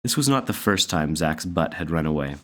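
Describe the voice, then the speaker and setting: A man's voice: rumbling low, lively intonation, fairly quick, a bit bright. A man narrating a children's story aloud from a book as a story reader.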